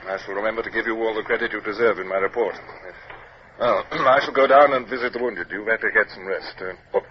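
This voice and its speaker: An actor playing a weary, dutiful British naval captain in a 1950s radio drama, speaking formally. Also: male voice, fairly low pitch, fast, lightly acted. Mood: grave, hesitant. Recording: noisy muffled old radio recording.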